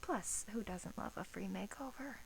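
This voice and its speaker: A woman playing a bored, teasing vampire guard in a soft-spoken ASMR roleplay, coaxing in hesitant, drawn-out phrases with an undertone of menace. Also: mid-range voice, creaky, close to an everyday voice, rough recording. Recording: faint background hiss.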